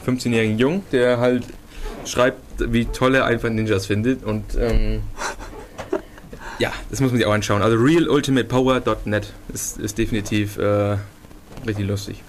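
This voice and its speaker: A man's voice: on the low side, steady tone, normal speed. A man co-hosting a podcast in casual, joking chat, often with a chuckle in his voice. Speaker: podcast co-host. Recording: some background noise.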